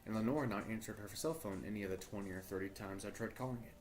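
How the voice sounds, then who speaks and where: A man's voice: lowish voice, close to an everyday voice. Man acting as earnest, troubled journalist over music. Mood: grave, earnest. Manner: deliberate, formal, storytelling delivery. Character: earnest, troubled male journalist recording his search for missing partner. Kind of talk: first-person horror audio drama monologue.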